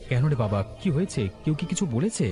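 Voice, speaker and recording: A man playing a gentle, worried village father in a cartoon, with a concerned and soothing manner. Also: on the high side, very animated, quick pace, soft background music. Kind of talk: Bengali cartoon dialogue.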